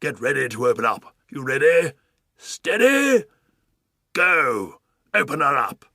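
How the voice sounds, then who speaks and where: An actor playing a pompous, blustering old duke who bellows his orders slowly and emphatically. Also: mid-range voice, gravelly, bright, forceful tone, no room sound, clean studio sound, full character voice. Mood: commanding.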